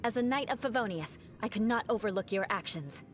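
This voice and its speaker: A proud young noblewoman knight, voiced by a woman, cool and composed, answering with dry, sharp remarks. Faint game music sits behind her. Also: medium pitch, expressive, fairly quick, natural voice. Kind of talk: video game voice acting.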